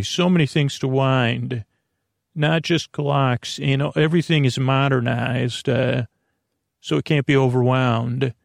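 A man with a gravelly, creaky voice, recorded close and dry. He hosts a bedtime podcast, wandering through an unscripted monologue. He is gently reassuring, often halting, trailing off and starting his phrases again. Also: medium pitch, no room sound. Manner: meandering and halting, trailing off and restating himself. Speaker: host of a bedtime sleep podcast. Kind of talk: rambling, improvised bedtime monologue.

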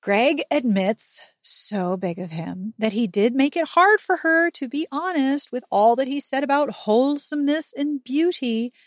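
A woman hosting a podcast, giving a chatty book recap with animated, sarcastic and sweary commentary. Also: high-pitched, measured pace, few pauses, no room sound, studio quality. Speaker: podcast host.

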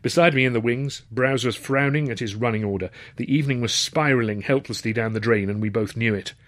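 A man's voice: medium pitch, a little fast, a bit bright, no room sound, studio quality. A man narrating a comic novel in the first person, with wry, literary phrasing. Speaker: author and narrator.

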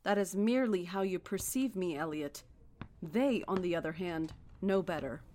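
An actress playing a serene, fanatical cult leader in a horror audio drama, speaking in a measured, solemn, preaching way. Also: female voice, on the low side, lively intonation, darkish tone, a little hiss or hum, lightly acted. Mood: calm zeal.

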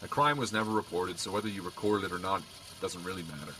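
An actor playing a teasing, casually skeptical police officer in an audio drama, dry and conversational over car engine rumble. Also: male voice, quick pace, sharp tone. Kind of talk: naturalistic audio drama acting.

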